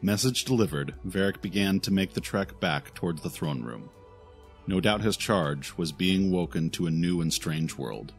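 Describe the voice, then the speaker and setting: A man narrating a scripted fantasy audio drama in a solemn, deliberate way. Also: deep voice, lively intonation, vocal fry. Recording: faint music behind the voice.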